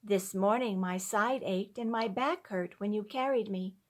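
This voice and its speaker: A woman voicing a frail, grateful sick little girl, soft, patient and polite, in a storybook reading. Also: medium pitch, measured pace, close to an everyday voice. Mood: patient, meek.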